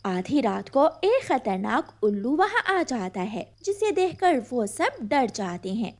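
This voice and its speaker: A woman narrating a scripted children's animated story. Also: fairly high pitch, measured pace.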